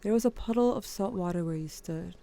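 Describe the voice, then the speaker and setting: Audio drama narrator, young, played by a woman, lowish and mellow, confiding, wry, quietly haunted. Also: fairly quick, creaky. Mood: somber, reflective. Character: young narrator recounting family memories, wry, subdued, haunted. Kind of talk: scripted first-person audio drama narration.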